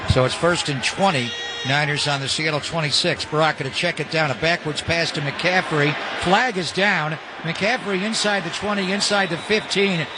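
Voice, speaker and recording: Loud stadium noise surrounds a fairly high-voiced American man. He calls live football for radio in clipped, present-tense phrases, as a play-by-play announcer does. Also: moderate pace. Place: stadium broadcast booth.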